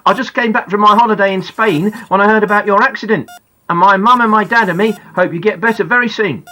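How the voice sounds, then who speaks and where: Reader doing an eager young schoolboy, chatty, bright voice, audiobook. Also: mid-range voice, fast talker, close to an everyday voice, poor audio.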